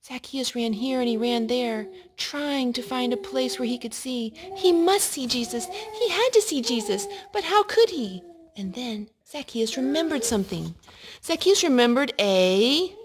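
A woman reading a picture book aloud to a child in an expressive way, pausing to ask questions. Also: high voice, crowd noise. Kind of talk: storytime read-aloud. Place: living room indoors at a couch.

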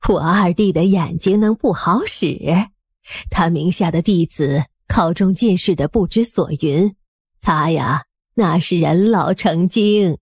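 A woman playing a kindly, shrewd elderly dowager in an audiobook drama, speaking in an indulgent, husky, unhurried way. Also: on the low side, a little mellow, dry recording, studio quality. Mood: amused, genial.

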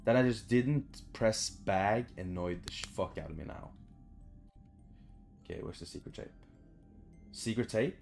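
A young man with a low voice and plenty of fry in it, commentating on a game. He talks casually and swears freely, with faint music behind him. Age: young adult. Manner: sweary, informal. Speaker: gaming video creator. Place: small bedroom, indoors.

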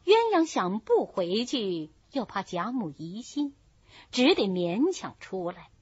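A woman reading a classical novel aloud as an audiobook, with measured, expressive storytelling. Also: medium pitch, husky, dry recording. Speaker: audiobook reader. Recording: dull lo-fi recording.

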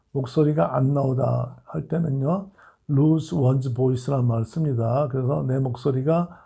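A man teaching English phrases, explaining in Korean and reading each English example sentence slowly and deliberately. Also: mid-range voice, creaky, warm tone, husky.

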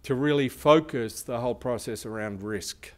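Man, conference speaker, big loud voice, smooth and resonant, mid-range pitch. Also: normal speed. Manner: explanatory, asks the audience questions. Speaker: research institute speaker. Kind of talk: conference presentation.

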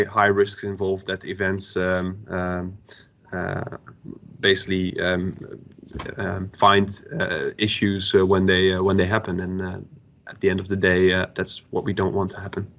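A man in his thirties speaking as a panelist on a video call, halting with frequent fillers and restarts. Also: fairly low pitch, a bit bright. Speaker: stadium operations panelist. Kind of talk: panel discussion. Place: home room, indoors. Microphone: laptop webcam video call. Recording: dull lo-fi video call audio.

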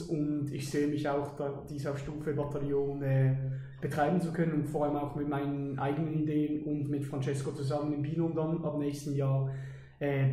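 A man's voice: fairly high pitch, warm tone, reverberant, rough recording. Young man, many fillers. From Basel, Switzerland. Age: 20s. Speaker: interview guest, army lieutenant. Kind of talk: casual podcast interview. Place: outdoors beside an army vehicle.

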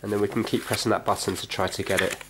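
A man's voice: on the low side, flat delivery, quick pace. A middle-aged British man giving casual, explanatory commentary as he thinks aloud through a hands-on repair. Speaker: repair vlog presenter. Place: indoor room with wooden floor, working at floor level. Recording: clear background noise with clicks.

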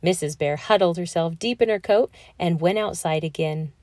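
A woman reading a children's picture book aloud in an expressive, deliberate storytelling manner. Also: fairly low pitch, dry recording, clean studio sound. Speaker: storybook reader.

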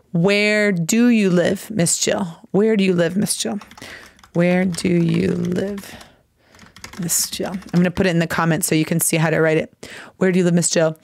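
An American woman hosts a live English lesson over a video call, explaining phrases slowly and trying out hesitant Portuguese. Her voice sits low for a woman and her delivery is unhurried. The desk microphone sound is close and dry. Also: gravelly, dry recording. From United States. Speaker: English teacher and host. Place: home room, seated at desk.

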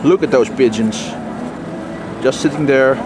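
A man's voice: mid-range voice, a little slow. A man giving casual, wry vlog commentary on the sights around him, speaking into a handheld camera outdoors. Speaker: vlogger. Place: outdoors on busy city streets. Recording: clear outdoor street and traffic noise.

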